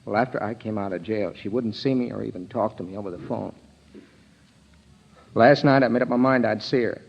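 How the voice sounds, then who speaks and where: An actor playing an overwrought, stammering ex-convict bent on revenge in an old-time radio crime drama. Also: male voice, fairly low pitch, brisk, creaky. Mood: tense, confessing. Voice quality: nervous, broken laughter. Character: hysterical wronged male ex-convict, desperate and vengeful. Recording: dull vintage radio recording.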